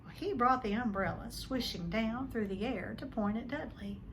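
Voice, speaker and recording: A woman's voice: fairly high pitch, normal speed, a bit bright, low-quality recording. Woman reading a story, faint background noise. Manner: addresses listeners, dramatizes the text. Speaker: teacher reading aloud. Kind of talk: expressive storybook read-along.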